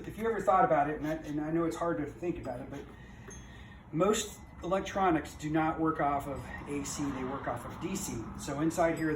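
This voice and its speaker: A middle-aged man giving a casual, unscripted walkthrough of a project as a vlogger, rambling along in a folksy way. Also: a little high. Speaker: vlogger reselling auction finds. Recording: roomy, distant mic, background noise.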